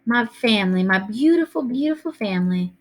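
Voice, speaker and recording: A woman's voice: fairly high pitch, animated, brisk, close to an everyday voice. A woman voicing a small, feisty, loving old grandmother with a Southern US accent and a folksy, commanding manner in a storybook reading. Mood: tearful joy.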